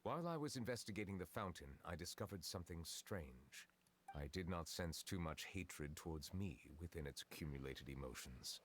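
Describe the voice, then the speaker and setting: An actor playing a dignified, reserved dragon judge in a video game, speaking formally and with restraint. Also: male voice, sits low, animated, moderate pace, fairly bright tone, slight background noise. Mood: reserved, measured.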